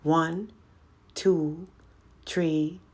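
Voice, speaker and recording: A woman voicing a stubborn, wizened old village witch from a folk tale, croaky and terse. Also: sits low, very slow, heavily acted. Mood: monotonous, stubborn. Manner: monotonous counting, terse commands.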